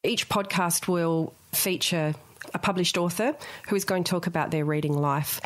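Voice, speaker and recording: An Australian woman with a slightly low voice speaks as a teacher and podcaster. Her delivery is earnest and articulate, and the recording is dry and close. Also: no room sound.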